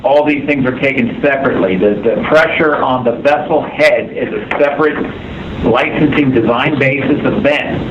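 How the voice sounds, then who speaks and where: A man giving an explanatory technical account in a meeting, measured and restating point by point. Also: mid-range voice. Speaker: engineer in a review meeting. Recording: dull, echoey room with clear noise.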